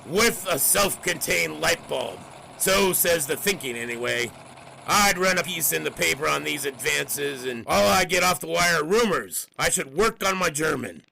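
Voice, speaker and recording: An actor playing a brash, gadget-loving newspaper editor-in-chief with emphatic sales patter, in an old-time audio drama. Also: male voice, fairly high pitch, animated, normal speed, creaky, pushed and bright, a little hiss or hum, exaggerated voice, low-quality recording. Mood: excited, boastful.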